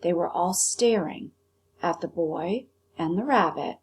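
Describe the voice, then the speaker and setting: Husky woman reading a story aloud. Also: lowish voice, unhurried. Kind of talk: storybook read-aloud narration.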